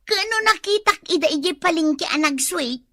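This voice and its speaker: An actress playing a sharp-tongued, sceptical, scolding wife in an Ilocano comedy radio drama, with an Ilocano accent. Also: female voice, very high voice, animated, normal speed, no room sound. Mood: dismissive.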